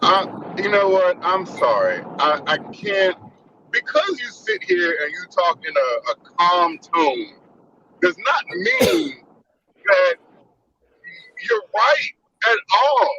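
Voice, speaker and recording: A man in his thirties rants with heat and emphasis, hammering the same phrases over and over. His voice is very high for a man and swings widely, with a gravelly edge and a bright tone. It comes through a dull, lo-fi mic. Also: wildly swooping pitch, slow pace, long pauses, slight background noise. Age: 30s. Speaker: podcast panelist. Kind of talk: impassioned fan rant.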